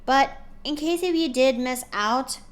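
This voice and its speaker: A woman streamer talking casually with her chat and narrating a fanfic, often halting with fillers and restarts. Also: sits high.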